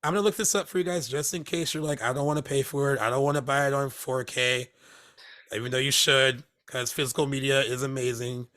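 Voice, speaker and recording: A man's voice: mid-range voice, brisk. Rambling male podcast co-host. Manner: relaxed, rambling, many fillers and restarts. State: recovering from laryngitis. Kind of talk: casual podcast chat. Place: Hermosa Beach, California.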